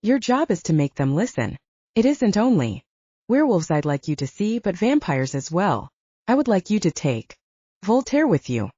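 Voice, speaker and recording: A woman voicing a composed middle-aged father and leader, measured and authoritative, in an audiobook. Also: fairly low pitch, big pitch swings, warm tone, breathy edge, no echo, muffled recording. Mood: commanding. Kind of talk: audiobook character dialogue.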